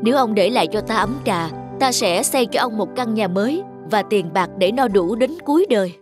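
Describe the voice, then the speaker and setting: A woman's voice: medium pitch, lively intonation, a little fast, over music, lightly acted. A woman voicing a wealthy, proud male landlord who loves tea in a cartoon folktale, talking in a commanding, eager way. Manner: commanding, eager, addresses servants curtly. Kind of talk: dubbed cartoon folktale acting.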